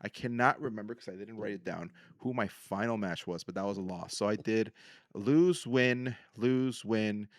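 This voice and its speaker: Low-voiced man, casual podcast host. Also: moderate pace, no room sound, studio quality. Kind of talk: casual solo recap of card game decks and matches.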